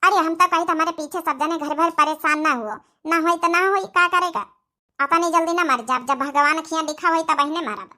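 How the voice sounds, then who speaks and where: A woman's voice: extremely high pitch, normal speed, pushed and bright. A woman with an Awadhi accent playing a self-sacrificing village daughter-in-law, speaking plaintively in a cartoon comedy. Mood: resigned, upset.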